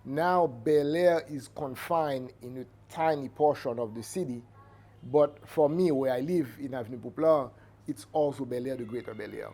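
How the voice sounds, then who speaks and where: Mellow middle-aged Haitian man, measured and halting. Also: medium pitch. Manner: measured, halting, searching for words. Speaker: Vodou priest and cultural entrepreneur. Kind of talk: reflective interview answer. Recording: noticeable background ambient noise.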